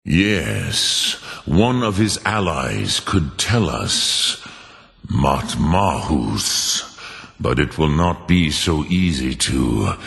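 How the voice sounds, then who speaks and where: An ancient, wise dragon speaks in a creaking, gravelly rumble. The delivery is extremely slow and solemn, yet the pitch rises and falls expressively. It is a brooding mentor from a fantasy video game, every word drawn out with weight. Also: male voice, sing-song, fairly bright tone, heavily acted. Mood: pondering, grave. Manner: ponderous, deliberate, solemn, words drawn out. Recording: long cavernous reverb.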